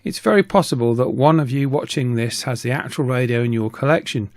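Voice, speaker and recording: A middle-aged man narrates a hobby video in a medium-pitched voice. He reads from a script as the host, in a voice-over style. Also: fairly quick, dry recording, clean studio sound. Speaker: YouTube host, radio hobbyist.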